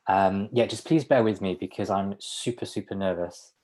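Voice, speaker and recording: Deep-voiced man interpreting a presentation. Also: a little mellow. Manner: halting, with restarts and small laughs. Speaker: sign language interpreter. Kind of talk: voicing a signer's presentation in first person.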